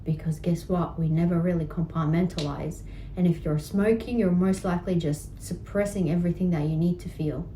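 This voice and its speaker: A woman's voice: fairly low pitch, steady tone, fairly quick, mellow, roomy sound, a little hiss or hum, low-quality recording. A woman leading a healing coaching session, encouraging and often checking in with "right?". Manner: encouraging, repeats "right?" and "guess what". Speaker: healing practitioner.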